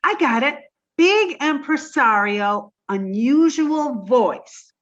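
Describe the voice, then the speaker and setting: A naive, scatterbrained young woman, acted by a woman, with a very high voice that rises and falls in slow phrases. The recording is dull and lo-fi, like an old radio play. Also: lively intonation, a bit bright, exaggerated voice. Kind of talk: 1950s radio sitcom acting. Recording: dull lo-fi sound.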